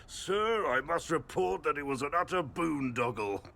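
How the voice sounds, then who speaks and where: An actor playing a cocky, joking, laid-back adventurer in a video game, talking in a casual, wry way. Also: male voice, fairly high pitch, lively intonation, vocal fry, bright, forceful tone, full character voice. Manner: casual, wry, drawn-out storytelling. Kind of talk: video game dialogue acting.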